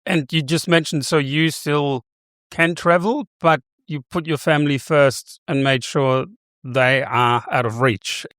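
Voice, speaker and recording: A man who hosts a podcast, presenting a scripted introduction and then interviewing a guest in a clear, articulate way. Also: on the high side, steady tone, a little slow, fairly bright tone, dry recording, studio quality. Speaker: podcast host.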